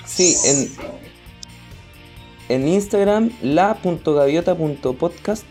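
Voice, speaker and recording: A man's voice: fairly high pitch, dark tone. A man with a Chilean accent in casual conversation, searching for words and using colloquial speech. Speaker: guest, podcast creator.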